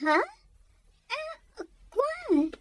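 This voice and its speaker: Woman acting a very high-pitched, girlish sweetheart. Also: big pitch swings, drawn-out words, dry recording, full character voice. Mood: hesitant, awkward. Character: well-off, lovestruck young woman visitor, girlish and insistent. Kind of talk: Ilocano audio drama acting.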